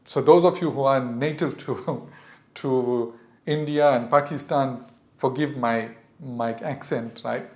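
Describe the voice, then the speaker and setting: A man's voice: medium pitch, takes it slowly, darkish tone. Earnest middle-aged South African man, echoey. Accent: South African English. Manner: earnest, advising parents with repetitions. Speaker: podcast host. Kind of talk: reflective podcast monologue.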